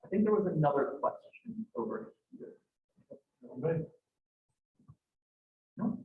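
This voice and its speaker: A man speaking as a guest at a club meeting, taking questions from the room in a brisk, friendly way. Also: sits high, lively intonation, creaky. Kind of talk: casual Q&A at a meeting. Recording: tinny, echoey room from far off.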